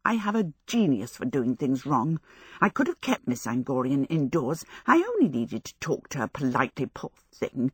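A woman's voice: rumbling low, very animated, moderate pace, husky, dry recording, studio quality, voice pushed down, heavily acted. A woman voicing a flustered, determined heroine who frets and blames herself. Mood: muttering. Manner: fretful. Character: flustered, self-blaming heroine, quick and determined.

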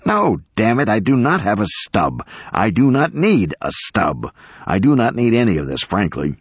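An indignant old professor, acted out by a male narrator, declaims with pompous emphasis. His pitch leaps up and down as he rants like a storybook crank. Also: very animated, normal speed, husky, no echo, studio quality. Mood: indignant, haughty. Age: older. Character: ranting, unkempt, indignant elderly male professor.

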